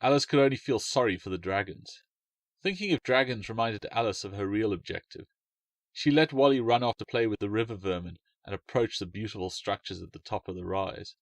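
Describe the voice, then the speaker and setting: A man reading his own fantasy short story in a calm, measured way. His voice sits low. The recording is close and dry with no room sound. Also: no room sound. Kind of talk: storybook narration.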